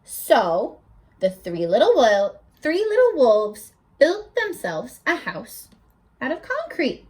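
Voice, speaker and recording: Animated woman reading to children. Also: high-pitched, slow pace. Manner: animated, asks children questions. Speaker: teacher. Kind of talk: children's read-aloud.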